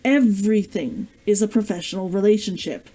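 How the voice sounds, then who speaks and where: A woman voicing a guarded, gruff male adventurer in a fantasy storybook, speaking tersely and earnestly. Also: mid-range voice, normal speed, warm tone, natural voice, rough recording. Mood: earnest, insistent.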